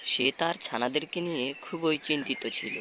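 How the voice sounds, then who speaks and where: Bright-voiced male cartoon storyteller. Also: fairly high pitch, normal speed, slight background noise. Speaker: narrator. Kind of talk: scripted storytelling narration for children's cartoon.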